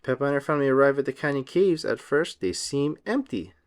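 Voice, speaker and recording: Animated man reading a children's storybook. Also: medium pitch, a little fast. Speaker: read-aloud host. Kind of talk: children's picture book read-aloud, scripted narration.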